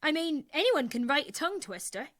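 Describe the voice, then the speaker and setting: A woman playing a cheerful, slow-witted but confident pub regular in a radio comedy. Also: high-pitched, big pitch swings, a bit bright. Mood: puzzled, confident.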